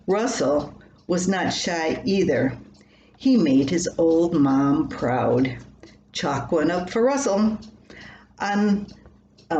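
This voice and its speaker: Older woman, slightly low pitch, slow delivery, personal storytelling read from a script, roomy sound. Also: darkish tone. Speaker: writer reading own story. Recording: roomy echo, faint background noise.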